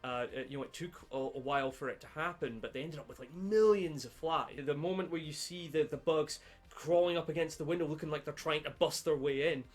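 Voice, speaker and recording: A man co-hosting a film podcast in casual chat, halting and rambling, with casual swearing. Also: fairly high pitch. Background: background music.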